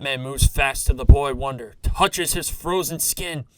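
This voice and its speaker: A man narrating a campy retro serial in a theatrical, deliberate, scripted style. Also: mid-range voice, clean tone, dry recording.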